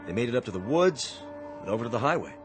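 A man's voice: mid-range voice, sing-song, fast, lightly acted. A man acting in a radio drama as a matter-of-fact junior state trooper. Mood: curious, uneasy. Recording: music clearly behind the voice.

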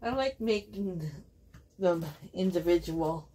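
A middle-aged woman with a Canadian accent, chatting to viewers in a relaxed, rambling way while cooking in her home kitchen. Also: on the low side, takes it slowly. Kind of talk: chatty kitchen vlog narration. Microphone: camera on counter.

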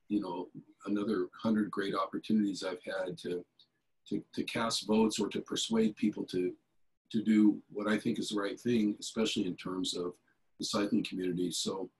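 A man's voice: on the low side, fairly even intonation, moderate pace, low-quality recording. A middle-aged man speaking on a video call from a home office, answering questions in a rambling way with many fillers and restarts. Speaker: keynote speaker, elected official. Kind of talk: conversational video-call interview. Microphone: video call webcam.

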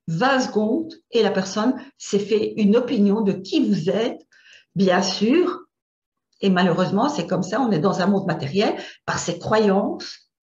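A woman's voice: medium pitch, darkish tone. A middle-aged woman from Belgium with a Belgian French accent, teaching an image coaching lesson over slides, often hesitating and repeating words as she searches for them. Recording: roomy, dull lo-fi sound.

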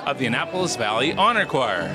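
A man's voice: medium pitch, sing-song, fast talker. A middle-aged man reporting on location with a handheld mic at a gala in a community hall, upbeat and promotional. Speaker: event reporter. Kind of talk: on-location event coverage. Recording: loud band music behind. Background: loud live music.

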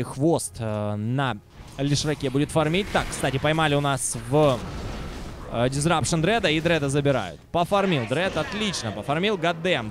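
A man's voice: mid-range voice, a little hiss or hum. A man commentating a video game match live, with casual, sardonic asides to viewers. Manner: wry, sardonic. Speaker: esports commentator.

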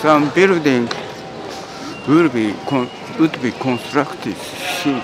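A man narrating a walking tour in short, halting phrases as he goes. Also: mid-range voice. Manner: short halting phrases, switching between languages. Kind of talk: sparse sightseeing narration while walking. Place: busy station area, streets and walkways. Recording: loud urban street and station noise. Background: loud city ambience, trains and traffic.

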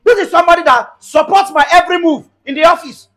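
Man playing a shrill, stammering husband. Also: fast, bright, forceful tone. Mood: defensive. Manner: tag-question "eh?" after nearly every line, stammers. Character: blustering husband caught in a lie. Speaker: husband in a comedy skit.

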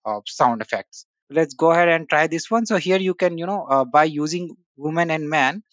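A man talks through a coding demo on screen, casual and full of "uh" and "you know." His voice sits a little high and dry. It comes through a dull, lo-fi desktop mic. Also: moderate pace, a bit bright, no room sound. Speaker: tech tutorial host. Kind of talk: screen-share software walkthrough.

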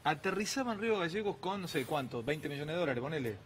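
High-pitched Argentine man, questioning insistently. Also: lively intonation, fast talker. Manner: insistent, repeats and recaps. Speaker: TV panel show host. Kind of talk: conversational interviewing. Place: large indoor TV studio. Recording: TV studio with noticeable background noise.

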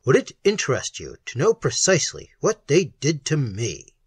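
A man voicing a tormented, trembling male explorer, halting and anguished, in a horror audiobook. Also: medium pitch, wildly swooping pitch, slightly rough, no room sound, heavily acted. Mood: wounded, bitter. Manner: halting, moaning and sobbing, anguished. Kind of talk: dramatic horror audiobook acting.

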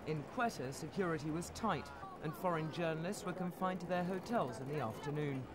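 A woman reporter reading a scripted news voice-over in a measured, formal delivery. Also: low voice. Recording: loud crowd and street noise behind.

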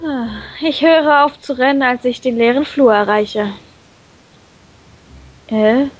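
A high-pitched woman narrates a visual novel casually and slowly. She reads like someone sharing a game with friends, with music playing behind her. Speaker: co-reader in a group visual novel let's play. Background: background game music.

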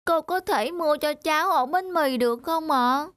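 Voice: high-pitched, normal speed, a bit bright. A performer voicing a timid, polite, hungry poor boy in a cartoon. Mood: timid, pleading. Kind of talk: cartoon voice acting.

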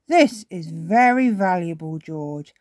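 A woman voicing a kindly old grandfather pig in a storybook reading, speaking gently. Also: on the low side, expressive, takes it slowly, no echo, clean studio sound. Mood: careful. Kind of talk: storybook read-aloud.

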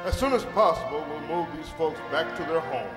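Man as folksy, high-pitched western sheriff. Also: expressive, a little fast, natural voice. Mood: folksy, admiring. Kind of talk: live amateur radio western acting. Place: on stage in a community hall. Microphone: stand microphone. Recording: echoey community hall, loud music behind.

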